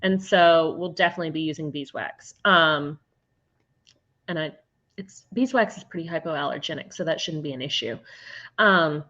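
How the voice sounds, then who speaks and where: A woman's voice: sits low, normal speed, bright, forceful tone. Woman teacher chatting, lots of ums and little laughs, muffled call audio. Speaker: homeschool class teacher. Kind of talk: casual chat.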